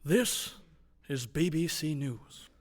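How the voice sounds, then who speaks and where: A man playing an unflappable, pompous 1940s news anchor in a comedy radio drama, speaking in a measured, dryly sceptical manner. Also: fairly high pitch. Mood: formal, announcing.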